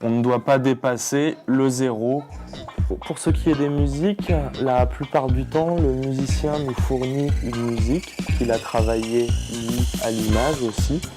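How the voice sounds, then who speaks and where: A man working in sound post-production, explaining his job in a measured, technical way. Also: medium pitch, steady tone, over music. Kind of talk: explanatory interview.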